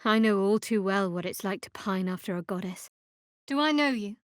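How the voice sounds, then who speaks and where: An actress playing a guarded, devout young woman cleric of a dark goddess in a fantasy video game, with a measured, wry delivery. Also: medium pitch, animated, no room sound, clean studio sound, lightly acted. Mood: dry, wry.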